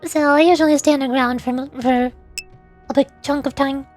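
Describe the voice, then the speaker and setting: A woman's voice: sits high, steady tone, moderate pace, a little mellow, faint music behind. A woman streamer chatting casually over gameplay in a chatty, giggly way. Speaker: VTuber streamer.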